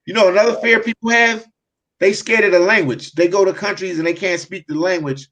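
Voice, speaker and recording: A man's voice: sits high, fairly even intonation, a little fast, a bit bright. A man hosting a casual live travel chat, reading viewer comments aloud and laughing at his own points.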